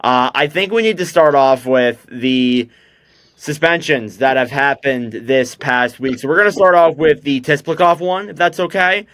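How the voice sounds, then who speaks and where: A man's voice: on the high side, moderate pace, fairly bright tone, dry recording. A young man hosting a casual hockey podcast, chatty and loose with lots of fillers. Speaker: podcast host.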